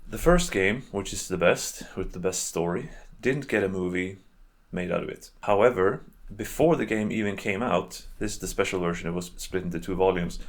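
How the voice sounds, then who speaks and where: A man hosting a video in casual, unscripted commentary, with a halting, rambling and hedging way of talking. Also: sits low. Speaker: video host reviewing games and adaptations.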